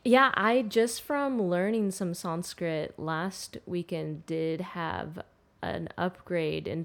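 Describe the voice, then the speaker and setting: A woman who grew up in the United States, chatting in a lively, animated way. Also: lowish voice, gravelly. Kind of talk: casual conversation.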